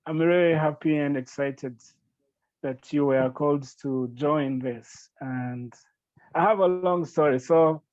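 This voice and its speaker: A man from Rwanda, speaking earnestly and gratefully as a podcast guest, with halting restarts. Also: fairly high pitch, a little mellow, no room sound, dull audio. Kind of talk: podcast interview.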